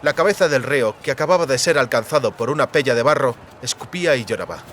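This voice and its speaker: Guy narrating an audiobook, bright crisp dramatic storytelling, faint street noise in the back. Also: mid-range voice, animated, fast.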